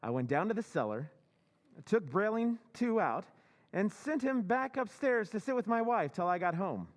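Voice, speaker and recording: An actor playing a smug, self-assured scheming husband in an old-time radio drama recreation. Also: male voice, sits high, big pitch swings, normal speed, big-room sound.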